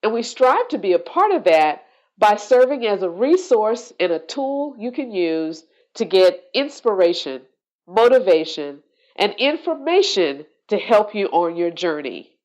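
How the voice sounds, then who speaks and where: A woman hosting a solo podcast, sounding congested and nasal from allergies, talking in a slow, reflective way. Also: mid-range voice, sing-song, darkish tone, highs cut off. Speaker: podcast host.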